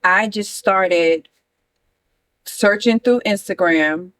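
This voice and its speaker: A woman from New York with a New York accent, a guest chatting casually about her business and telling lively stories. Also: medium pitch, slow pace. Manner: lively storyteller who acts out quoted voices. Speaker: guest, business owner and photographer. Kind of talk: casual conversational interview.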